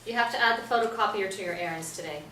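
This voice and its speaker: A woman's voice: medium pitch, fast, bright, forceful tone, lightly acted. An actress in a staged play reading playing an anxious, fussy woman playwright, curt and exasperated. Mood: irritable. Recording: echoey stage, distant mic, noisy room.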